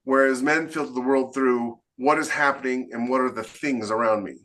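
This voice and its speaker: A man's voice: mid-range voice, fairly even intonation, moderate pace, fairly bright tone, poor audio. A man co-hosting a podcast interview in casual, emphatic talk, often acting out imagined quotes to make his point. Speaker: podcast co-host.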